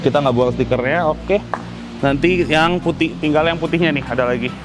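A man's voice: a little high, normal speed. A young man chatting casually to viewers while showing a scooter in a workshop. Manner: chatty, slangy, addresses viewers as guys. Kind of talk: casual walkaround vlog. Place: large indoor car workshop. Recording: loud background music over workshop.

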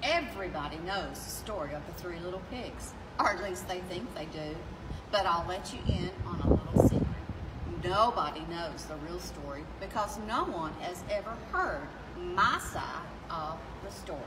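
A woman's voice: fairly low pitch, expressive, moderate pace. A middle-aged woman reading a children's story aloud in a narrating style. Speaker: story reader at a school. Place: outdoor covered area on a wooden bench. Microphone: camera a few metres away. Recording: distant mic outdoors, noisy, echoey ambience.

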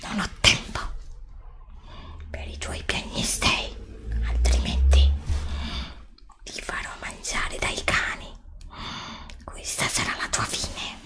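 A woman's voice: slow, pauses often, natural voice. A woman playing a cruel, impatient witch queen in an ASMR roleplay, commanding with a menacing hiss. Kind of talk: whispered Italian ASMR roleplay.

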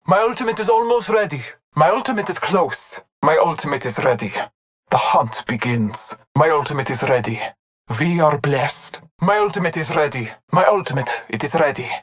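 An actor playing a solemn, devout masked hunter and tracker in a video game, speaking gravely with formal, measured phrasing. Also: medium pitch, slight background noise, natural voice. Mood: focused, then solemn, reverent. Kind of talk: video game character callouts.

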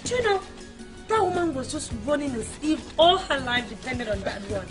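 Expressive Nigerian young wife, higher-pitched. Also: female voice, moderate pace, music in the background. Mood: animated, indignant. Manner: expressive, exclamatory. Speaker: actress. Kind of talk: Nigerian film drama dialogue.

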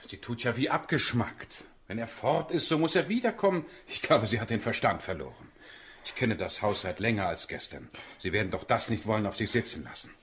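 An actor playing a hot-tempered, gruff middle-aged father in a German radio drama. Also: fairly low pitch, lively intonation, fairly quick, fairly bright tone, a little hiss or hum. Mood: scolding. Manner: gruff, scolding.

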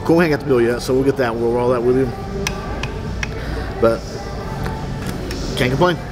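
A man's voice: medium pitch, normal speed. A man, giving a plainspoken, casual restaurant review to a camera held at arm's length. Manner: easygoing, plainspoken, clears throat. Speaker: food vlogger. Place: busy sports restaurant indoors. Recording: loud background music. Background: loud music.

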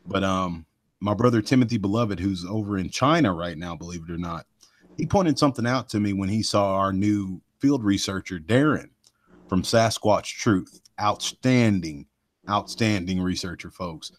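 Deep-voiced rambling man. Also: normal speed, no room sound. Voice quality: deep voice that carries loud. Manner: rambling, folksy, with many fillers and asides to listeners. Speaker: host of a cryptid research live show. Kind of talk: casual live podcast chat.

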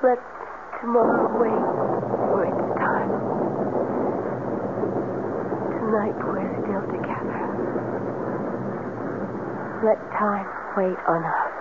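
A woman's voice: medium pitch, takes it slowly, pauses often, natural voice. An actress playing a frail, mysterious, feverish young woman in a 1970s radio drama, pleading tenderly in a weak voice. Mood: tender, languid. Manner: languid, pleading. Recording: tinny radio recording, loud hiss and noise.

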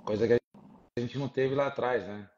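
A middle-aged man, a former footballer, talking in a relaxed, easy way on a video call and dropping many little filler tags. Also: medium pitch, normal speed, crowd noise. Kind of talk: casual video-call chat. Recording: dull lo-fi video-call audio.

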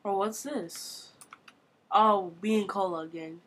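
A woman giving casual commentary on her own gameplay, thinking aloud with quick exclamations, at a desk mic at her computer. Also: mid-range voice, fairly even intonation, fairly bright tone, breathy edge. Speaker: gamer recording her play.

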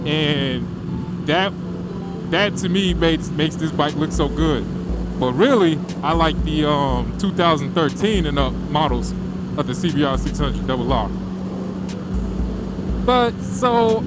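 A man talking casually to viewers while riding a motorcycle on country roads, relaxed and slangy, through a helmet mic. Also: fairly high pitch. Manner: laid-back, slangy, addresses viewers directly. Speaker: motovlogger.

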